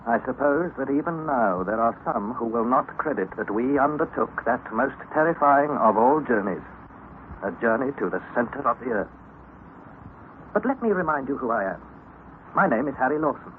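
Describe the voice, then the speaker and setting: A man's voice: mid-range voice, expressive, normal speed, a little hiss or hum, lightly acted. A man playing a young narrator in a tinny old radio play. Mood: recalling. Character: young male student recalling an adventure.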